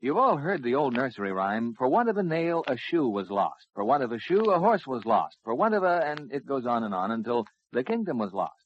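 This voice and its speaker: A male announcer speaks in 1950s radio style with lively, swinging intonation. The old recording is dull with some faint noise. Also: mid-range voice, brisk, hardly any pauses.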